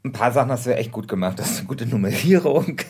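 A man's voice: medium pitch, animated, fast, darkish tone, slightly rough. A man co-hosting in a casual, spontaneous conversation. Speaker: co-host. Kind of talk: casual chat.

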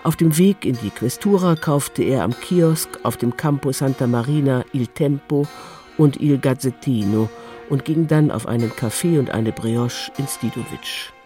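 A man's voice: medium pitch, moderate pace, dark tone, faint music behind. A man narrating a literary radio drama from a script.

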